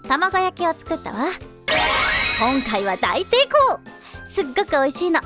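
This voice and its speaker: A woman playing a teasing, sensible high school girl in a Japanese anime-style voice drama, expressive and easily exasperated. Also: measured pace, over loud music. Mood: friendly.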